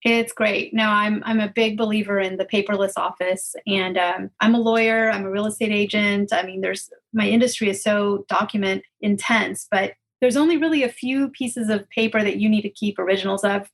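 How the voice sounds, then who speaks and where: A woman's voice: medium pitch, fairly even intonation, normal speed, hardly any pauses, rough recording. Conversational woman hosting an interview. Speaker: podcast host interviewing a guest.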